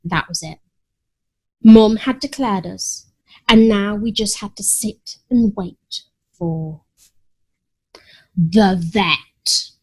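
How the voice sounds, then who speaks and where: A woman voicing a prim, dramatic, easily offended pet cat who narrates a children's story in an expressive, haughty manner. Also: mid-range voice, drawn-out words, long pauses, breathy edge, full character voice, low-quality recording. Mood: put out.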